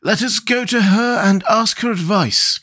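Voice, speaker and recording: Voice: medium pitch, measured pace, fairly bright tone, pitched above the performer's own voice. A reader voicing a kindly, proud storybook scarecrow who talks in an encouraging way. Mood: eager. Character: kindly, proud Scarecrow, cheerful sociable leader.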